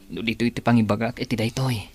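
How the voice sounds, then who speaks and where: A man's voice: mid-range voice, fairly even intonation, quick pace, a little mellow, close to an everyday voice. A man playing a sincere, lovesick young suitor, pleading his case in a radio drama. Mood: earnest.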